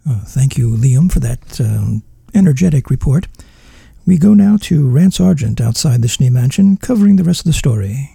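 A man's voice: medium pitch, expressive, moderate pace, creaky, warm tone, husky, no room sound, exaggerated voice. A man voicing a dry, clipped male news anchor handing over to a field reporter.

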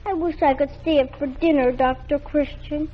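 A woman's voice: high voice, steady tone, normal speed, lightly acted. An actress playing a chatty nine-year-old girl sick in bed, in 1940s radio acting. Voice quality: girlish and eager. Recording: muffled old radio broadcast.

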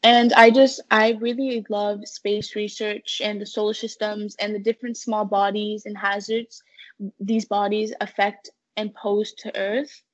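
A young woman speaks as an interview guest. Her delivery is earnest and conversational, with little pitch variation. The recording is somewhat dull and low in fidelity. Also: level tone, measured pace. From United States-born, living in Canada. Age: teens to young adult.

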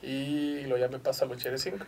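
A man's voice: medium pitch, flat delivery, quick pace, darkish tone. A man in his thirties from Chihuahua with a northern Mexican accent, a podcast guest chatting casually in a slangy, laid-back way with free swearing. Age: 30s. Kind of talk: casual chat.

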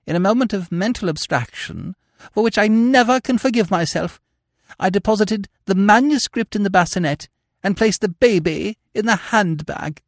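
A man's voice: sits high, moderate pace, dry recording, clean studio sound, voice pushed up. A man voicing a flustered, prim middle-aged spinster governess in a storybook reading. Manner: fussy, prim, genteel.

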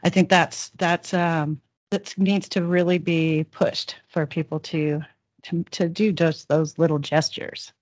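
A woman's voice: lowish voice, level tone, slow pace, a little mellow, dry recording. A middle-aged woman, a podcast guest, sharing personal anecdotes in an earnest, rambling way. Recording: dry, dull podcast audio.

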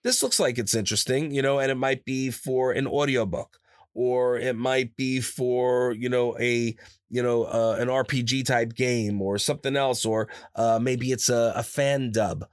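A man chatting casually in an interview as a voice actor, telling stories in a rambling, animated way and often repeating himself. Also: measured pace, clear, resonant tone, dry recording, studio quality. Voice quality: higher-pitched natural voice. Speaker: voice actor guest. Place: his own studio. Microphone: studio microphone, close.

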